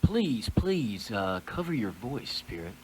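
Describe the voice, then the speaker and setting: A man playing a shaken miser confronted with his past in a radio play, halting and theatrical in delivery. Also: lowish voice, big pitch swings, breathy edge, exaggerated voice. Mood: nervous, hesitant.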